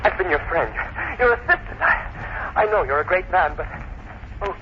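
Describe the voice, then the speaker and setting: An actor playing a terrified assistant in a radio drama, stammering and pleading in panic. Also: male voice, sits high, animated, moderate pace. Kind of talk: 1940s radio drama acting. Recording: muffled, noisy old radio recording.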